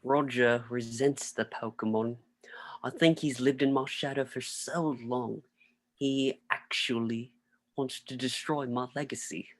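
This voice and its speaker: A man's voice: medium pitch, steady tone, unhurried, close to an everyday voice. A man reading the part of an earnest older tycoon in a wheelchair at a script table read. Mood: solemn, regretful. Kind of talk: video-call table read of film script.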